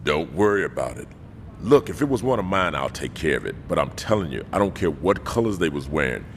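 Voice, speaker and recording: A man's voice: sing-song, brisk, noisy background, exaggerated voice. An actor playing a stern, commanding male gang boss who talks curtly, in a video game cutscene. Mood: placating on phone. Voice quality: deep and gravelly. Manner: curt, authoritative.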